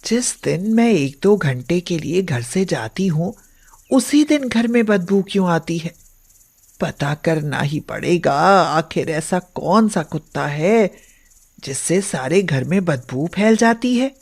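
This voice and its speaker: A woman's voice: lowish voice, animated, slow, a little mellow, slightly rough, heavily acted. A woman voicing a middle-aged, pious and suspicious mother-in-law with a commanding manner in a moral story. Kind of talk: acted moral story dialogue.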